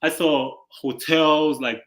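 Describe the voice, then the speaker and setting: A young man from Nigeria telling his story as an interview guest, in a halting, easygoing way with many pauses and fillers. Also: fairly high pitch, steady tone, a bit bright, low-quality recording.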